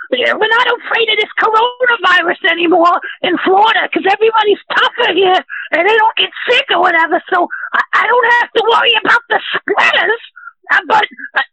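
A caller voicing a boastful, trigger-happy self-styled vigilante superhero who shouts in a whiny, near-crying voice. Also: extremely high pitch, no room sound, exaggerated voice, low-quality recording. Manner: boastful, aggressive, rambling.

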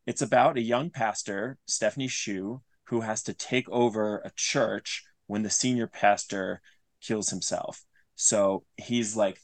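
A man's voice: on the low side, dry recording. A man speaking as an interview guest, explaining things earnestly in a conversational way and often restating his phrases. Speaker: interview guest, audio drama creator.

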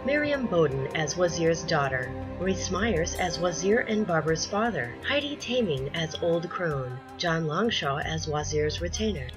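Lower-voiced woman reading credits over music. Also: low-quality recording. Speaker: credits announcer.

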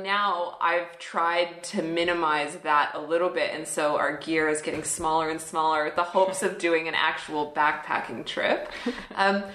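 A woman's voice: fairly low pitch, a bit bright, roomy sound. A woman hosting a podcast in casual chat, bubbly and explanatory, laughing often. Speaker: podcast host.

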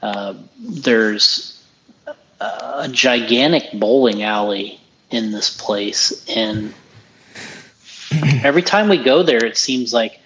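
A man's voice: mid-range voice, animated, slow pace, pauses often, fairly bright tone, slight background noise, rough recording. A man co-hosting a casual podcast, chatting about an RC race in a loose, rambling way with lots of restarts. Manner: rambling, restarts often, easygoing. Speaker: podcast co-host. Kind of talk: casual chat, race commentary.

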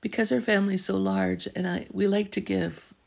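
A middle-aged woman from Canada, hosting a podcast and telling a family story in casual chat, clearing her throat as she loses her voice. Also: mid-range voice, steady tone, moderate pace, darkish tone. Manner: laughing, self-deprecating jokes. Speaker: podcast host.

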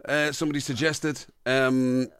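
A man's voice: mid-range voice, little pitch movement, normal speed, fairly bright tone, clear, resonant tone, no echo, clean studio sound. An Irish man co-hosting a radio show in casual, teasing banter, sometimes searching for the word. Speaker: radio co-host.

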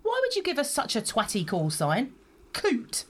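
A woman's voice: a little high, wildly swooping pitch, moderate pace. A woman playing a hard, scornful smuggler, curt and sardonic, in an audio drama. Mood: scornful, sarcastic. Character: bossy, sarcastic female gun smuggler, hard and scornful.

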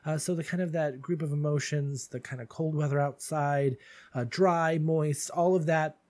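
A man's voice: on the high side, fairly even intonation, moderate pace, a little mellow. A man hosting a casual solo podcast, chatty and gushing, calling his listeners darlings, a little stuffy from allergies. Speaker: podcast host.

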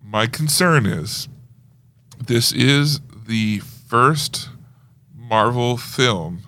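A man co-hosting a casual podcast discussion of films, talking in an animated, conversational way. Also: medium pitch, very slow. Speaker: podcast co-host. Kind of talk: casual movie trailer discussion.

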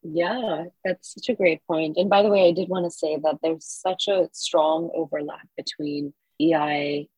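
A woman's voice: low voice, fairly even intonation, unhurried, hardly any pauses, a little mellow, husky, dry recording, poor audio. A woman from Singapore, an author and consultant, answering interview questions in an earnest, emphatic, encouraging way.

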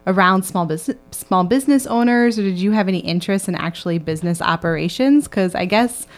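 A woman's voice: fairly low pitch, brisk. A woman hosting a podcast, asking a guest friendly, curious questions. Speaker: podcast host. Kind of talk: interviewing a guest.

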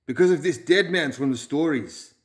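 A gruff Balkan-accented gang boss in an audiobook tale, acted out by a man. He growls in clipped, hard-edged phrases, commanding and distrustful. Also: a little high, normal speed, voice pushed up, natural voice. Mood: suspicious. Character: gruff, suspicious, commanding male gang leader.

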